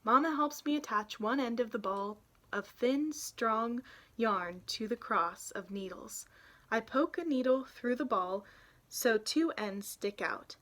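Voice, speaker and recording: A young adult woman reading a picture book aloud expressively, in an animated way, for young listeners. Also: a little high, a little slow. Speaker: read-aloud storyteller, youth intern.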